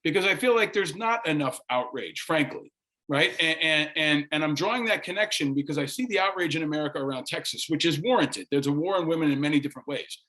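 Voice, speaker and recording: A man with a slightly high voice hosts a political interview show. He talks with insistence, building long, probing questions point by point. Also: expressive, quick pace, sharp tone.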